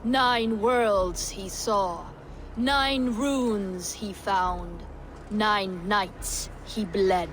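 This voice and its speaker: A solemn Norse ritual speaker in a game drama. Her medium-pitched voice draws each phrase out extremely slowly, like a funeral incantation, over faint noise. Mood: reverent. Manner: incantatory, drawn-out. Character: solemn Norse woman reciting a funeral rite. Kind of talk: dramatic video game acting.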